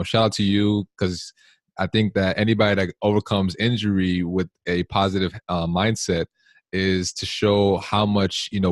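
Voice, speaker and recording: A man hosting a casual podcast, rambling warmly with many fillers and restarts. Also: sits low, dry recording, clean studio sound. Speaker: podcast host.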